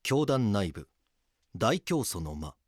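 An actor playing a grave, weary police detective, narrating a case in the first person with serious, measured delivery. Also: male voice, on the low side, big pitch swings, exaggerated voice.

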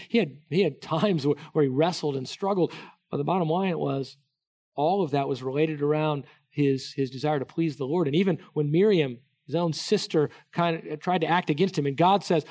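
Earnest, slightly high-voiced male preacher. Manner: earnest, wide swings between soft hushed and raised emphatic delivery; many restarts and repeated words. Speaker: pastor. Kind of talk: sermon.

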